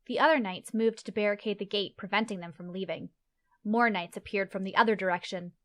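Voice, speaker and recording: A woman's voice: medium pitch, a little fast, a bit bright. A woman narrates a scripted fantasy tale. Her voice sits in a middle range. She reads as the storyteller of an audio play.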